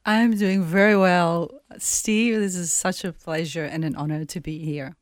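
A woman with an Italian accent, born in Italy, speaking as a guest in a radio studio interview in a soft, reflective, measured way. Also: lowish voice, steady tone, no echo.

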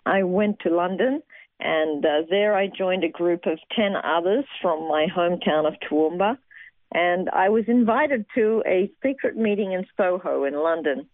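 A middle-aged woman from Australia, a guest telling her faith story earnestly with many fillers and pauses. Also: on the low side, no room sound. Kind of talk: personal testimony interview. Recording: tinny phone-like sound, dry.

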